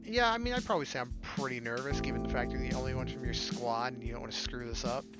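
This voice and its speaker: A man's voice: mid-range voice, fast, over loud music. A man hosting a visual novel playthrough, reading text aloud and adding casual, joking asides. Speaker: gaming video host.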